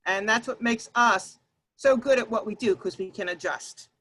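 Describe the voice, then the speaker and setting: A woman presenting an online workshop over a video call, talking loudly and emphatically and addressing her audience directly. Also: on the high side, moderate pace, breathy edge.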